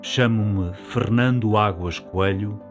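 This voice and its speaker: A middle-aged man with a fairly low voice reads a first-person documentary narration. His delivery is reflective and nostalgic, and soft music plays behind him. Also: slow pace. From Portugal, later living in France.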